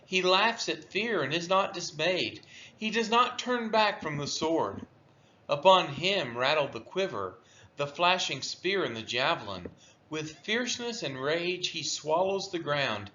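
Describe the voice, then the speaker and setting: A man reads scripture aloud as a devotional, with a bright, forceful voice. His delivery is slow and highly animated in pitch, declaiming each verse with deliberate expression. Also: lo-fi sound. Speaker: scripture reader. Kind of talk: devotional Bible reading aloud.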